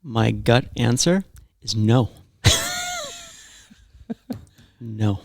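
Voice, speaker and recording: This is a man talking casually as a co-host, with a low voice and plenty of gravelly fry. He rambles and questions himself as he goes, often restarting his sentences, recorded close and dry. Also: lively intonation, very slow, a little mellow, dry recording. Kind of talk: casual conversation.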